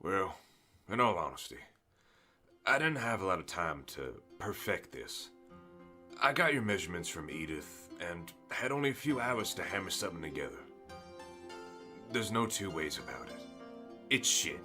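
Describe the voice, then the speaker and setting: A man's voice: low-pitched, sing-song, moderate pace, long pauses, over music. A man voicing a blunt, folksy, kindly shopkeeper and smith in a fantasy audio drama. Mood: wry, blunt.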